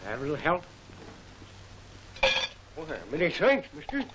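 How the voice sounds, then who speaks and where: Sly street informant, animated pitch. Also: male voice, slow pace. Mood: cagey. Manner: cagey, colloquial. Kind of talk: 1940s film serial acting. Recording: noisy 1940 film serial soundtrack.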